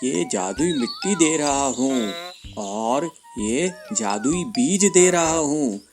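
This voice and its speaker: A woman's voice: low voice, pitched below the performer's own voice. A woman voicing a kindly old male hermit in a children's cartoon story, solemn and benevolent in manner.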